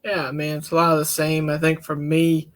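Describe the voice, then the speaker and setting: A man talking as a counselor in a casual, candid discussion, with a relaxed, folksy manner. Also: a little high, moderate pace. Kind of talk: casual podcast-style discussion.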